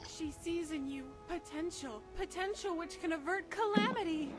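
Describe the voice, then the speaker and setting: High-voiced devout priestess. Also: female voice, moderate pace, music in the background. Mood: fervent. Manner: fervent, lofty, pleading. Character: devout, earnest priestess of a mother goddess.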